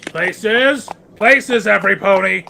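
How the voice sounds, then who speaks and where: A man's voice: high-pitched, slow pace, vocal fry, pushed and bright, a little hiss or hum, full character voice. A man voicing a stern, unfeeling male pegasus flight instructor who barks clipped orders in an audio drama. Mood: stern, commanding. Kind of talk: audio drama fanfic reading.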